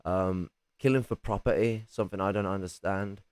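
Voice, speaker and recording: Raspy man, very low voice, rambling livestream chat, dry close sound. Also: fairly even intonation, moderate pace, darkish tone, no echo. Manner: rambling, many restarts, swears casually. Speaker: streamer.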